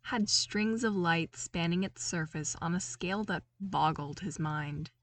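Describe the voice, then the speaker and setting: A woman reading a story aloud as an audiobook narrator, in an expressive scripted style. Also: on the low side, a little slow.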